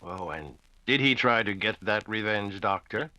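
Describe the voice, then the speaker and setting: An actor playing an affable 1940s radio announcer, conversational and eagerly prompting. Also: male voice, lowish voice, lively intonation, unhurried, pushed and bright, dry recording, exaggerated voice. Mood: curious, affable. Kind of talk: 1940s radio host banter.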